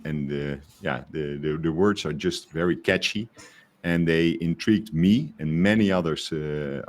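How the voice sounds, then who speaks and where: A man with a very low voice co-hosts a podcast in a relaxed conversational style. He hesitates often and restarts his sentences as he looks for the right words. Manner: hesitant, many fillers and restarts. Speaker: podcast co-host. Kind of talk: casual conversation.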